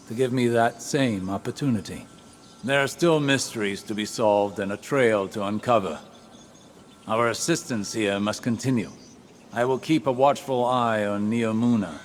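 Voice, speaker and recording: An actor playing a wise, measured sorcerer-scholar, speaking calmly and deliberately in video game dialogue. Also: male voice, medium pitch, slight background noise, lightly acted. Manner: calm, deliberate and grave. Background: game score music.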